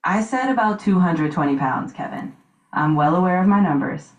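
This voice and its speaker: Woman voicing Shania, confident 30s guest, low voice. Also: lively intonation, roomy sound, dull audio, close to an everyday voice. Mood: assured. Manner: self-assured, brisk, upbeat. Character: confident single woman, 32, dating show guest.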